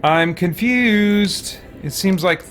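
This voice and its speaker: A man's voice: high-pitched, takes it slowly, a bit bright, faint music behind. A man giving casual gameplay commentary, muttering to himself as he thinks aloud. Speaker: let's play host.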